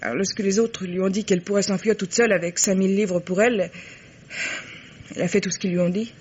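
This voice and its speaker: An actress playing a frightened, defensive young woman accomplice under questioning, halting and insistent, in old French radio mystery style. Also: medium pitch, fairly even intonation, a little mellow, close to an everyday voice. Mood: tense explaining. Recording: muffled, hissy old radio drama recording.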